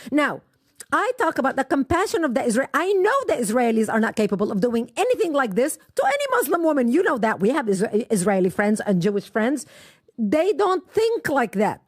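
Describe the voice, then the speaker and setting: A middle-aged woman from Lebanon with a high, lively voice that swoops up and down as she tells her story. She speaks with impassioned emphasis, recorded close and dry with no room sound. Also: brisk, no room sound. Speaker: guest. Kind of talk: personal testimony in an interview.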